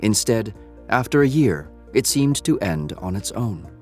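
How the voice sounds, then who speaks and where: A man giving measured, literary narration for an audio drama, telling the story in the third person. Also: lowish voice, sing-song, a little mellow, faint music behind.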